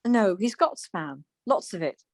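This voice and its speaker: A woman's voice: mid-range voice, sing-song, a little slow, creaky, no room sound, clean studio sound. A woman acting a scatty, easily exasperated wife in a domestic radio comedy. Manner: flustered, snippy.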